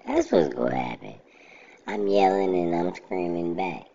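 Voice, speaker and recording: Man with a very deep, gravelly voice, slow and steady monotone delivery; casual monologue, dull lo-fi sound. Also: slight background noise. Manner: steady, plainspoken, self-described monotone. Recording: dull lo-fi recording.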